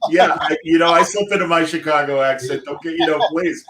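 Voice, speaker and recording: A man hosting a live online interview over a video call, enthusiastic and quick to praise. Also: fairly high pitch, steady tone, bright, forceful tone. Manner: enthusiastic, effusive. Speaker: host. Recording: roomy echo.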